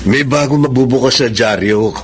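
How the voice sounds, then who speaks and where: A man's voice: medium pitch, moderate pace, slight background noise, natural voice. A man playing a helpful, teasing, persuasive friend in a radio drama.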